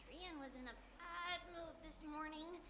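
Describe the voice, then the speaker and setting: A woman's voice: extremely high pitch, measured pace, noisy background. A woman voicing a tiny cheerful girl demigod who refers to herself in the third person. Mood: chatty. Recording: roomy game audio over music.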